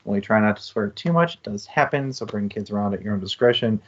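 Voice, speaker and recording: A man with a lowish voice co-hosts a casual book talk. He phrases things earnestly and thoughtfully, in a reflective style. The sound is slightly dull and lo-fi. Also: fast. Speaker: co-host of a book discussion show.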